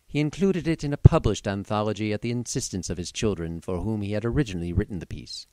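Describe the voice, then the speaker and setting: A man's voice: on the low side, quick pace, a little mellow, no echo. A man giving a formal, literate scripted radio introduction as the announcer.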